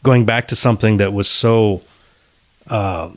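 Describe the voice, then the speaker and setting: A man co-hosting a podcast, talking casually about bands in halting sentences, often restarting and swearing offhand. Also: on the low side, no echo. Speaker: podcast co-host. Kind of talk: casual chat about music.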